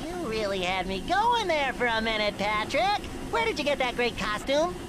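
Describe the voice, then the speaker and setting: A childlike, eager cartoon sea creature speaks in a high, squeaky, nasal voice. The delivery is earnest and excitable, with clear noise in the game audio. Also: male voice, moderate pace. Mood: playful. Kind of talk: cartoon video game acting.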